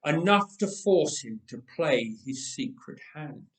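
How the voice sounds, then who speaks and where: A man reading a dramatic story aloud with measured, emphatic delivery and dramatic pauses. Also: on the high side, expressive. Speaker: storyteller, reader. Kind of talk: dramatic scripted story narration. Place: roomy church interior. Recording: echoey church, distant microphone.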